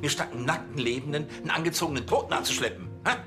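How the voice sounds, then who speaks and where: A man's voice: a little high, rapid-fire, over music, close to an everyday voice. An actor playing an exasperated, sarcastic police chief who scolds his officers in a biting way, in a TV crime comedy.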